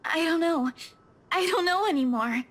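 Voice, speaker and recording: A woman's voice: very high-pitched, animated, moderate pace, a bit bright. A woman voicing a terrified, fragile young woman in a visual novel game, pleading breathlessly and stammering.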